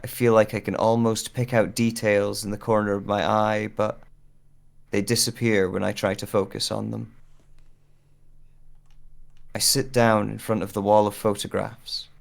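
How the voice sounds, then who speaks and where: A man's voice: on the low side, little pitch movement, gravelly. Man acting a wistful, searching amnesiac, hesitant delivery. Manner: hesitant, deliberate, with pauses. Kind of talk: solo audio drama monologue.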